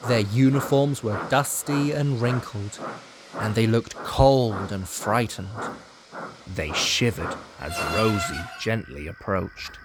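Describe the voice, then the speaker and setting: A man reading scripted storybook narration in a dramatic, deliberate manner. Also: fairly low pitch, noisy background.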